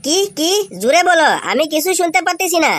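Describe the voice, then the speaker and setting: A woman's voice: very high voice, brisk, a bit bright. A woman voicing a cheeky, scheming schoolboy in a comedy cartoon, exclaiming and repeating himself in mock confusion. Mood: feigned confusion. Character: cheeky scheming schoolboy pretending to be deaf and crazy.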